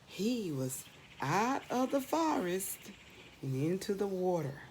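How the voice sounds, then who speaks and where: A woman's voice: mid-range voice, sing-song, slow pace, darkish tone, a little hiss or hum. A middle-aged woman doing a read-aloud storytime for young children, expressively posing questions and answering them, drawing out and repeating words for emphasis. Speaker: children's storybook reader, teacher.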